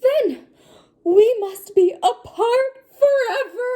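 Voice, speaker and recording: A woman voicing an anxious, dramatic storybook elephant with a halting, sneezy stammer. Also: very high-pitched, mellow, voice pushed up. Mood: worried, dramatic.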